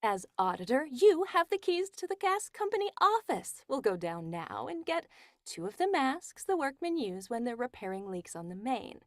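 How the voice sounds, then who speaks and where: Actress as cold-blooded scheming young wife, 1940s radio horror: high, swooping, domineering and coaxing. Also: female voice, very animated, normal speed, no room sound, studio quality. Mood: brisk, scheming.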